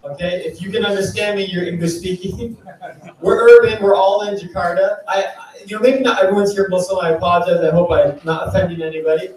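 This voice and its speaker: A man's voice: high voice, fairly even intonation, a little fast, very echoey, recorded from a distance, low-quality recording. A man giving a talk at a startup meetup, chatty and engaging, often putting questions to the audience. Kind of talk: conference talk to a live audience. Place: event venue.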